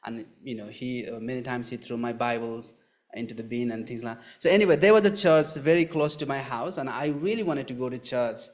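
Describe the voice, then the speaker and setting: A man from Nepal with a Nepali accent, telling his personal story in a live interview in an earnest, halting way, repeating phrases. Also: medium pitch. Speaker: guest giving his testimony.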